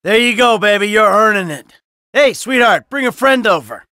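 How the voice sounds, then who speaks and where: A man's voice: high-pitched, lively intonation, moderate pace, bright, forceful tone, no room sound, clean studio sound. A man voicing a cynical, brash middle-aged American criminal in a video game, sarcastic and foul-mouthed. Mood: flirtatious, smug. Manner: sardonic, brash, swearing.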